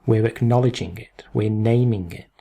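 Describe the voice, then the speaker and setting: A man leading a guided meditation in gentle instruction, unhurried, leaving long pauses between short phrases. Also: on the low side, creaky.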